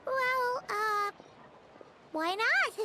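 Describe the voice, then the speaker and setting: A woman voicing a small, curious fairy companion in a video game, with a squeaky childlike voice and chirpy manner. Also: lively intonation, drawn-out words, background noise, heavily acted. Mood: puzzled. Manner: refers to self in third person, chirpy. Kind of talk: video game character acting. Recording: loud music behind.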